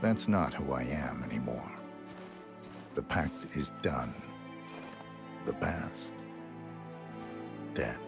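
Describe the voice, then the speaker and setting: An actor playing a cold, stoic professional assassin in a video game, talking in terse, flat, clipped lines. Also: male voice, takes it slowly, pauses often, over music, full character voice. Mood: resolute. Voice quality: low, gravelly, clipped. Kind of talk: video game cutscene acting. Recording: game score behind.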